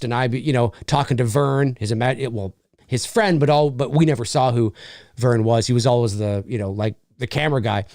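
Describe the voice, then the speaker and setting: A man in his forties hosting a solo live-stream Q&A, energetic and rambling with many fillers and restarts. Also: mid-range voice, no echo, clean studio sound. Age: 40s. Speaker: host.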